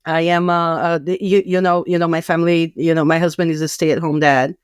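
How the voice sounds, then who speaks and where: Smooth-voiced woman with a South American Spanish accent. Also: low voice, little pitch movement, brisk, no echo, clean studio sound. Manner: earnest, many restarts and repeated words. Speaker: guest. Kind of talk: casual podcast conversation.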